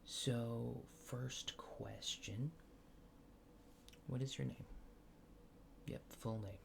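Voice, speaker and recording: Guy doing a chill alien fixer for asmr, super slow n soft, kinda hesitant and dry, noisy background. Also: medium pitch, fairly even intonation, vocal fry, heavily acted. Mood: businesslike, gentle. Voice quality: soft, often near whisper. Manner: hesitant, understated, dryly matter-of-fact. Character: laid-back, faintly apologetic male alien fixer. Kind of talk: soft-spoken ASMR roleplay.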